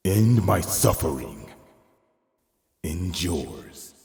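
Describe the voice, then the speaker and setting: A man playing a huge, solemn gargoyle in a fan fiction audio drama, speaking gravely and tenderly with a gravelly voice. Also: big pitch swings, darkish tone, exaggerated voice. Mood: anguished. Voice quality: very deep, gravelly. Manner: slow, grave, pleading. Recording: long cavernous reverb.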